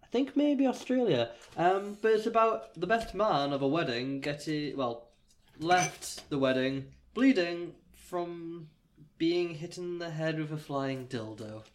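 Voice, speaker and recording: A young man in his twenties co-hosting a podcast with a dry, deadpan delivery. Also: on the high side, a little slow, a little hiss or hum. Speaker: podcast co-host. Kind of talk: casual chat.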